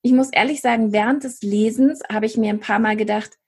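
A woman's voice: a little high, steady tone, a little fast, husky. A woman co-hosting a podcast, chatting casually about books and hesitating often with fillers and restarts. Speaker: podcast co-host. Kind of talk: casual book chat.

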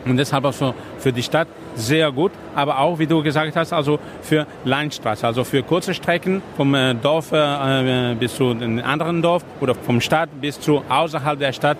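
Man, Spanish accent, somewhat high voice, hesitant, lots of fillers, faint traffic behind. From Spain. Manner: halting German with many fillers and loose grammar. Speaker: carmaker representative. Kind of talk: trade-fair interview.

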